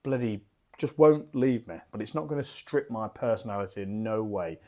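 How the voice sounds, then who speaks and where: Man, croaky voice, rambling and chatty, home bar, desk mic. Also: fairly low pitch, animated, moderate pace, dark tone. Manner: rambling, chatty, self-deprecating. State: croaky from a lingering cough. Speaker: beer reviewer. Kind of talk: casual chatty beer review. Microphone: desk microphone.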